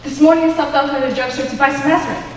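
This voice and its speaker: A woman's voice: high-pitched, steady tone, very fast, lightly acted. A teenage student actress with an American accent playing an exasperated, incredulous woman in a stage comedy. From United States. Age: teens. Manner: agitated, incredulous. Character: flustered, exasperated woman having a baffling day. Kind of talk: one-act stage comedy acting. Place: school auditorium stage. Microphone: distant camera microphone. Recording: echoey auditorium recorded from far off, hiss.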